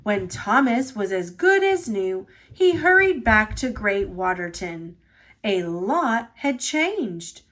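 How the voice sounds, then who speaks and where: A woman reading a children's storybook aloud as narrator, with expressive delivery. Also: mid-range voice, slow pace. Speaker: storybook reader.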